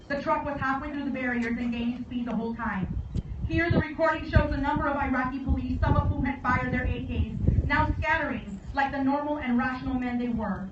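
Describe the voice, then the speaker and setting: Echoey, distant high-voiced woman giving solemn speech. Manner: solemn, measured oratory with deliberate phrasing. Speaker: guest speaker at a memorial service. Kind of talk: formal commemorative speech. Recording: echoey, distant, dull and very noisy.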